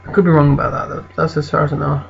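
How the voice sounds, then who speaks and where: A croaky, gravelly-voiced British man chats his way through a game in a casual, rambling style that corrects itself as it goes. His voice sits a little high, and the recording is dull, with a faint hiss behind it. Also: flat delivery, racing. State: croaky voice from an infection. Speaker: let's play host. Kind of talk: casual gameplay commentary.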